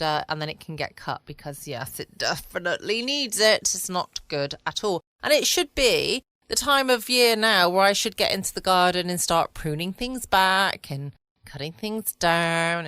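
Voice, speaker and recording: A woman's voice: on the low side, a bit bright, clean tone, no echo. A woman hosting a casual bedtime podcast from home, chatty and rambling. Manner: chatty, rambling, light laughs. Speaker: podcast host.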